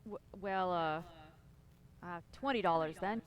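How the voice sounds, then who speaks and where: A woman's voice: on the low side, a little slow, close to an everyday voice. A woman playing a grumbling, hard-pressed townsman in a radio drama. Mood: grudging.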